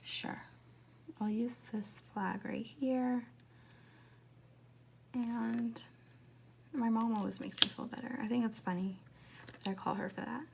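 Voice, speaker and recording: A woman in her twenties chatting casually in a rambling, confiding way, laughing often and slipping into soft whispers as she talks through decorating her planner. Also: on the high side, fairly even intonation, slow, gravelly, long pauses, a little hiss or hum. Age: 20s. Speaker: planner vlogger.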